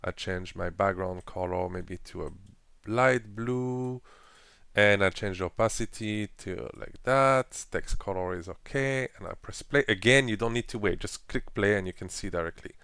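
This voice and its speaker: A man presenting a casual software tutorial with phrasing and frequent fillers, explaining each step while clicking through an editor. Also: fairly low pitch, normal speed, gravelly, clean tone, dry recording, studio quality. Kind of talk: screen-recorded software walkthrough.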